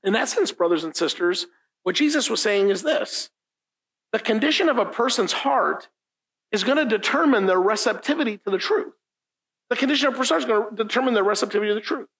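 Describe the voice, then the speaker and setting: A man's voice: high voice, animated, fast, a bit bright, rough recording. A middle-aged man whose first language is Italian, preaching a conversational sermon at a lectern in a church room. He talks informally, often asks "right?", and repeats key lines for emphasis. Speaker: pastor. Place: church meeting room, indoors, standing at a lectern.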